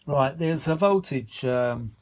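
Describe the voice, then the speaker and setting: A man's voice: fairly high pitch, expressive, slow, darkish tone. A tired man with a British accent giving a casual, rambling, unscripted repair commentary, muttering and grumbling with mild swearing as he searches for words. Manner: grumbling, mildly sweary, exasperated. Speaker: vintage audio repair vlogger.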